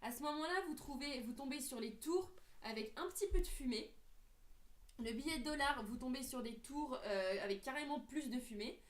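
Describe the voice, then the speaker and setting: A woman's voice: sits high, moderate pace. A young woman in her late teens, explaining things earnestly in a casual group discussion and hesitating often. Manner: earnest, hesitant with many fillers. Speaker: co-host presenting theories. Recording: echoey room.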